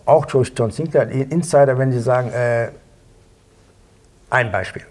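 A man's voice: medium pitch, moderate pace, a little mellow. A middle-aged man chatting casually as an interview guest, self-assured and speaking in short, clipped phrases. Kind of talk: casual anecdotal chat. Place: indoor studio set, seated at a table.

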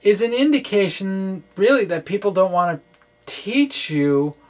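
A middle-aged man giving an informal physics explainer in a halting, conversational, wry way, speaking into a microphone indoors. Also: high voice, very animated. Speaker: physics blogger. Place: small indoor room with bookshelves. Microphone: microphone on a stand in front of him.

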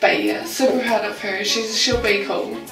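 A woman's voice: medium pitch, very animated, normal speed, distant mic, over music, natural voice. A woman acting a vain, jealous young New Zealand best friend in a comedy sketch, ditzy and self-absorbed, in an echoey room.

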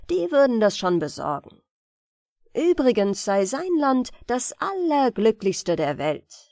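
A woman narrating fairy tales as a bedtime audiobook in a measured, expressive storytelling manner. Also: mid-range voice, no echo, studio quality. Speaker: audiobook reader.

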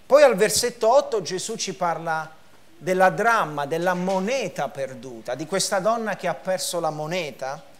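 High-voiced male preacher, emphatic. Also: expressive, normal speed. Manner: emphatic preaching with repetition and gestures. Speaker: pastor. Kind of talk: sermon. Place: church hall, in front of a projection screen.

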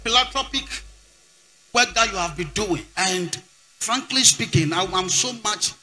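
Ghanaian man, high bright voice. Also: lively intonation, unhurried. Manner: emphatic, counts figures aloud, switches between English and Twi. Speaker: archbishop speaking at a church event. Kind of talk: church speech to a congregation.

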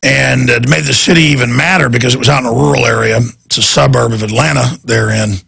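A man hosting a live radio talk show from a studio, ranting emphatically with a hoarse, strained, raspy voice into a desk microphone. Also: mid-range voice, fairly even intonation, quick pace, fairly bright tone, dry recording. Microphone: large studio microphone on desk.

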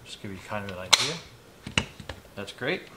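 A man in his fifties giving a casual, unhurried product demonstration, explaining each step informally as he goes. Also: fairly low pitch, lively intonation. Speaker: product reviewer demonstrating gadgets on his channel. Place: small indoor hobby room at a desk. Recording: clear background noise, clicks of handled items.

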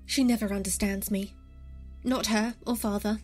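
A woman's voice: medium pitch, steady tone, moderate pace, soft background music, close to an everyday voice. A young woman acting a defensive, resentful nineteen-year-old daughter in an audio drama.

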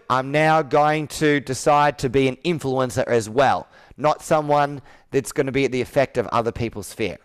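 A man's voice: mid-range voice, clear, resonant tone. A man in his thirties coaching sales on a video call, talking in a persuasive, emphatic way with repeated phrasing. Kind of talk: live sales roleplay coaching. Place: indoor room with whiteboard, on video call.

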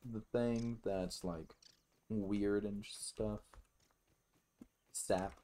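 A man's voice: lowish voice, fairly even intonation, very slow, warm tone. A man giving casual let's play commentary as a gaming host, musing aloud in a rambling way and swearing freely.

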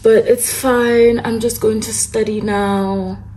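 A woman's voice: on the high side, little pitch movement, slow, mellow, a little hiss or hum, poor audio. A young woman chatting casually to her vlog audience, rambling informally and repeating phrases for emphasis. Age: young adult. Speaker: student vlogger.

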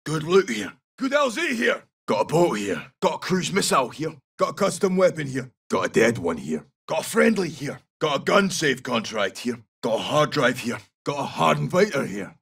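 Scottish soldier for a shooter game, raspy and a bit high for a man, clipped urgent callouts. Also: a bit bright, far from the mic, full character voice. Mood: brisk, alert. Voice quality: husky, gravelly. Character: tough, loyal Scottish special forces soldier. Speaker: video game character voice. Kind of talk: short military callouts.

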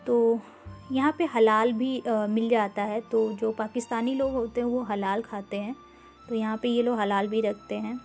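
A woman giving an unhurried, casual walkthrough of a grocery store, filming on a handheld phone and mixing English words into her talk with frequent "uh" fillers. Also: a little high, fairly even intonation, creaky, darkish tone, faint music behind. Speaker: vlogger touring a grocery store.

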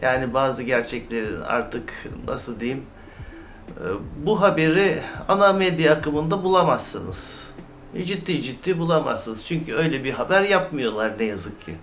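A man giving informal, opinionated YouTube commentary in a halting, indignant, emphatic manner with rhetorical questions. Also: mid-range voice, lively intonation, a bit bright. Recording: clear background noise, amateur recording.

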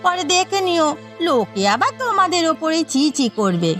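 A woman's voice: very high voice, sing-song, unhurried, fairly bright tone, full character voice. A woman voicing a nosy, trouble-stirring village gossip in a cartoon drama, insinuating and needling, with music behind.

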